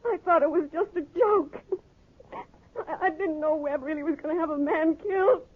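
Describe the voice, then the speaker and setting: A woman's voice: high-pitched, moderate pace, close to an everyday voice. An American actress playing a frightened, earnest young saloon woman in a 1950s radio Western, nervous and urgent. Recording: tinny, narrow-band old radio recording.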